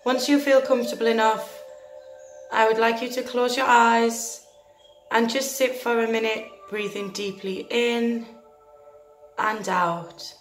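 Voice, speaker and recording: A woman leading a guided meditation in a gentle, soothing, deliberate manner, leaving long pauses between phrases. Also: on the high side, steady tone. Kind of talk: guided meditation, scripted narration. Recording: roomy echo with faint music behind.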